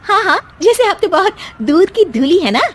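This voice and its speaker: A feisty young daughter-in-law from a cartoon family comedy, acted by a woman. Her voice is very high and lively, swinging up and down as she bickers. She sounds sulky, sarcastic and indignant. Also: normal speed. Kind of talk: animated family comedy story acting.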